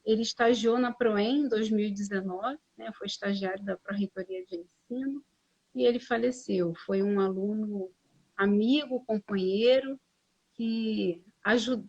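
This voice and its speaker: A woman teacher hosting a live class on a video call, speaking formally with frequent tag questions. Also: medium pitch. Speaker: administration teacher, host of the live. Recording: dull lo-fi stream audio.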